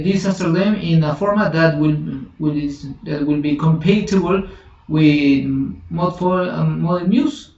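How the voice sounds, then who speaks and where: Man, native Spanish speaker, slow halting tutorial talk, gravelly, distant roomy lo-fi recording. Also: fairly high pitch. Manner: halting, thinks aloud, many restarts and self-corrections. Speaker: software tutorial instructor. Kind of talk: screen-recorded software tutorial.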